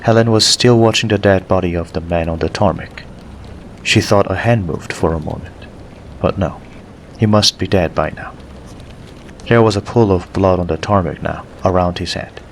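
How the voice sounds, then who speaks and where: Very deep-voiced male audiobook narrator. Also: moderate pace, slight background noise. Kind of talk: scripted story narration.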